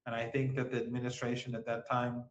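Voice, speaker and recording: A man's voice: mid-range voice, completely flat delivery, brisk, roomy sound, highs cut off. A man giving spoken political commentary as an interview guest.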